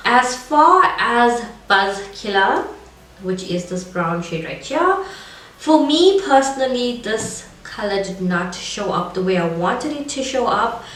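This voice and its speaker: A woman's voice: mid-range voice, a bit bright. A woman with a cold and a scratchy, congested voice, chatting casually through a makeup review in a rambling way. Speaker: beauty vlogger. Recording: echoey room, far from mic, faint noise.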